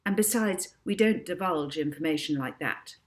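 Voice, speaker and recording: A woman voicing a crisp, cross and officious female airport ticket clerk in a storybook reading. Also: on the low side, moderate pace, natural voice.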